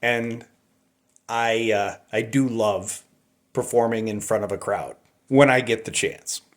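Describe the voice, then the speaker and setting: A middle-aged man tells personal stories casually. His delivery is unhurried and reflective, halting between short phrases, at an average male pitch. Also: fairly even intonation. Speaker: storyteller, amateur voice actor.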